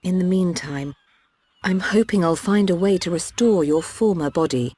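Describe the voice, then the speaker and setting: An actress playing a caring, serious healer in a video game, with measured, gentle delivery and a dark, husky voice. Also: female voice, lowish voice, no room sound. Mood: concerned. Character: caring, grave female healer and mechanic, candid adviser. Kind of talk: dramatic video game voice acting.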